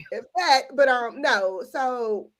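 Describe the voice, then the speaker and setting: A woman's voice: high voice, lively intonation, very slow, fairly bright tone, rough recording. A woman co-hosting a podcast, talking candidly in a casual chat and acting out quoted remarks. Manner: candid, storytelling with quoted asides. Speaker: podcast co-host.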